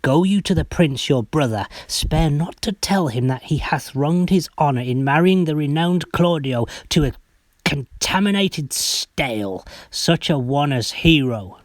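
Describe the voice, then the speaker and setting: A male actor plays a cunning, scheming henchman in a Shakespearean radio play. His voice is slightly high and deliberately conspiratorial, recorded close and dry. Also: lively intonation, dry recording. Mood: sly plotting. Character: sly, cunning henchman plotting slander for pay. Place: home recording.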